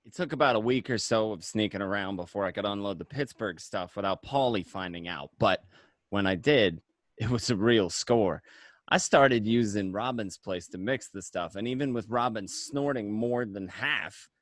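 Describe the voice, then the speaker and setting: A man's voice: lowish voice, normal speed, dry recording, studio quality, lightly acted. A man playing a defensive, hustling gangster in an amateur radio drama read, insistent and stammering over repeated words. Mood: matter-of-fact. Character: defensive, hustling young male gangster, eager to reassure.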